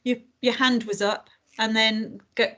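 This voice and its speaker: A woman's voice: a little high, level tone, a little slow, fairly bright tone, dull audio. A woman leading an online community meeting over a video call, talking with many fillers and restarts. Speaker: meeting facilitator.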